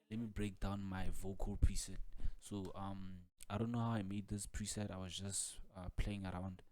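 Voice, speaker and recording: A deep-voiced man talks through a music software tutorial in a relaxed, flat tone. He explains loosely and informally, often circling back over his words. The sound is close and dry. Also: dry recording. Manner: casual, repetitive filler-heavy explaining with frequent "like" and "man".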